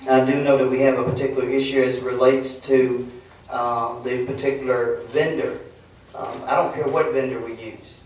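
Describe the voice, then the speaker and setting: A middle-aged man addresses a public board meeting with measured, plain words. His pitch barely moves as he speaks formally. He is heard from a distance in a heavily echoing room with faint noise. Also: darkish tone. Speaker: board member with law enforcement background. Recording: very echoey room, distant mic, some noise.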